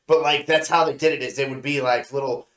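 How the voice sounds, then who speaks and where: A man co-hosting a review show in casual chat, with a halting, rambling way of telling things. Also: medium pitch, steady tone, fairly bright tone. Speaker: co-host of an anime review show. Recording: roomy, echoey sound.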